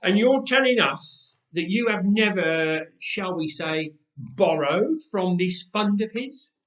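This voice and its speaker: A man's voice: high-pitched, lively intonation, takes it slowly, a bit bright, heavily acted. A man voicing a menacing knife-wielding debt collector in a story, growling and mocking. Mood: sneering. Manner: mocking, taunting. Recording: roomy, echoing space.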